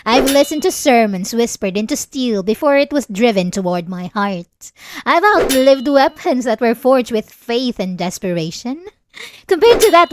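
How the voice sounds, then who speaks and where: A woman's voice: on the high side, slight background noise. A woman playing a centuries-old, possessive vampire lover in an ASMR roleplay, silky and condescending, with sweet menace, close to the mic. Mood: mocking amusement. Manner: slow, silky, condescending endearments, teasing menace. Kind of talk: ASMR roleplay, dramatic monologue. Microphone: close, dry studio-style mic.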